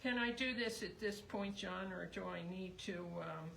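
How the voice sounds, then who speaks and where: A woman's voice: medium pitch, moderate pace, gravelly, fairly bright tone. Middle-aged woman, sewing instructor, voice going, rambling live-demo chat, noisy recording. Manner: chatty, rambling, thinking aloud. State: losing her voice. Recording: noisy background.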